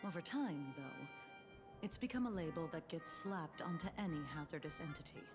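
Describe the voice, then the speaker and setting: A woman voicing a composed adult female defense officer in a game, speaking in a measured, formal way over game music. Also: deep voice, expressive. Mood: clinical. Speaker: game character. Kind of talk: dubbed game dialogue.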